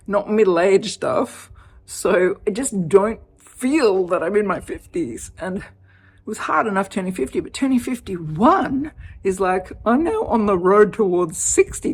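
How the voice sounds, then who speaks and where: A heavily pregnant woman in her fifties talking off the cuff in a personal vlog, chatty and animated, laughing often. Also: on the low side, a little hiss or hum. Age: 50s. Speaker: vlogger.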